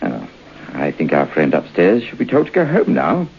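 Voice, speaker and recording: A principled, stubborn priest in a vintage radio play. His voice is very hoarse and gravelly, and his pitch swings dramatically as he worries and moralizes in formal phrases. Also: wildly swooping pitch, unhurried, heavily acted. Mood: uneasy, grumbling. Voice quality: husky, gravelly. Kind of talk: old-time radio drama acting. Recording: muffled old radio broadcast.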